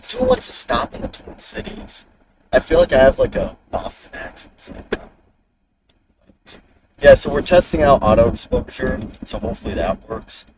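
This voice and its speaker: A man in a car talks through his drive for a livestream, rambling and muttering about the route and traffic. His voice swings up and down a lot, and he sounds a little far from the mic. Steady road noise runs under him. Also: a little high, moderate pace, creaky. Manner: rambling, talks to himself while driving. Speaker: storm chaser streaming live. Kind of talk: casual driving commentary.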